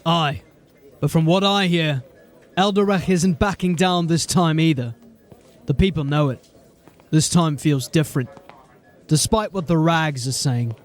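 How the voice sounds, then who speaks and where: A man's voice: on the high side, measured pace, long pauses, lightly acted. A man playing a blunt, weary watch sergeant. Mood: steady, grim. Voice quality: plain-spoken, grim and steady. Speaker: voice actor. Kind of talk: audio drama acting.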